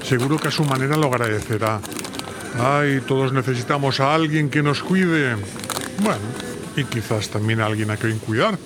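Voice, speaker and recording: A man with a Spanish accent from Spain playing an ordinary, soft-hearted, grumbling husband in a slice-of-life radio drama. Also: medium pitch, moderate pace, close to an everyday voice. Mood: grumbling, tender. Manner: colloquial, muttering to himself, teasing. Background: music.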